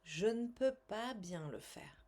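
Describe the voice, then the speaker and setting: A woman coaching a guided tapping exercise in short, measured, instructional phrases with pauses and repeated statements. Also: medium pitch, expressive, mellow. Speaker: tapping coach and language teacher.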